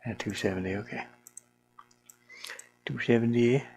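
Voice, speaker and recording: A solo game developer thinking aloud in a casual, unscripted way, with a creaky voice and colloquial, mildly grumbling speech. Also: mid-range voice, unhurried, darkish tone. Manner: muttering to self, grumbling mildly, colloquial 'ain't' and double negatives. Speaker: solo game developer narrating their work. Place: small warm room with a fan, indoors.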